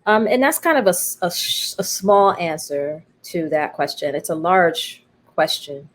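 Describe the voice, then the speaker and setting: A middle-aged American woman with a slightly low voice talks in a personal, reflective conversation. She is thoughtful and emphatic, often pausing or circling back over a phrase to stress it. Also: expressive. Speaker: interview guest, playwright.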